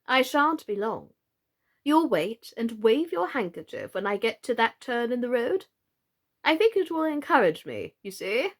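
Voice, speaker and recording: A woman voicing a gentle, eccentric old knight from a storybook, with a halting, earnest, theatrical delivery. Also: fairly high pitch, big pitch swings, full character voice. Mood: gentle, hopeful.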